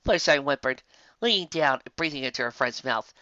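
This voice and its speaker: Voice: mid-range voice, expressive, brisk, pushed and bright, no echo. A narrator reading scripted fan fiction in a dramatic, emphatic storytelling manner, on a slightly dull recording.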